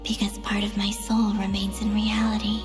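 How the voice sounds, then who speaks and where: A woman voicing a serene, earnest young moon goddess in an anime game, soft-spoken and measured. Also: mid-range voice, completely flat delivery, music in the background, natural voice. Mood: calm, inquiring. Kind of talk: anime game cutscene acting.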